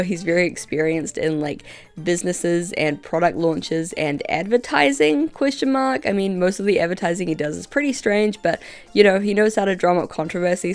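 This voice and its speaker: A woman's voice: mid-range voice, darkish tone, clear, resonant tone. A young woman YouTuber narrating a video essay in a wry, conversational way, just recovering from being sick, on a home mic without a pop filter. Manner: wry, conversational, with filler words in asides.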